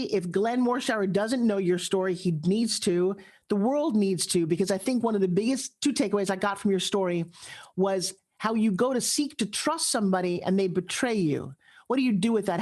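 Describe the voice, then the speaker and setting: A woman host who talks in a rapid, gushing rush, her voice sitting at an ordinary middle pitch. She pours out praise and keeps the conversation moving. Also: darkish tone. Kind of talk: live audio room chat.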